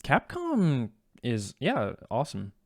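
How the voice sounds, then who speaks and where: A man's voice: medium pitch, wildly swooping pitch, takes it slowly, darkish tone, dry recording, clean studio sound. A man co-hosting a podcast, chatting casually in an informal way. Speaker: podcast co-host. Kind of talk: casual chat.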